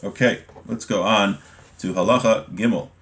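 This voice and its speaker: A man's voice: lowish voice, steady tone, moderate pace, a bit bright. Conversational American man teaching a class. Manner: conversational, explanatory, checks in with "right?". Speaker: religion teacher giving an online class. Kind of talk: informal text study, reading aloud then explaining with examples.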